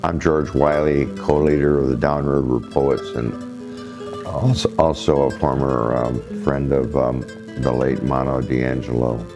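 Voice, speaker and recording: An extremely deep-voiced man offers memorial remarks as co-leader of a poetry group. He speaks haltingly, with plucked guitar music clearly playing behind him. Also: level tone.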